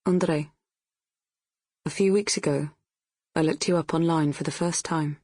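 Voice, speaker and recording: An actress playing an older Brazilian mother in an audiobook, intimate and deliberate, wistful with quiet reproach. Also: deep voice, steady tone, dry recording, clean studio sound. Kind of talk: audiobook narration in character.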